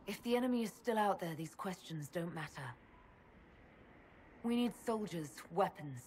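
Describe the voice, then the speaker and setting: A woman's voice: lowish voice, expressive, normal speed, slight background noise, natural voice. A woman playing a determined young elf hero in a video game, speaking bluntly and with urgency. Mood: urgent, frustrated. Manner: blunt, urgent, forceful wording. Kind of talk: dramatic video game dialogue.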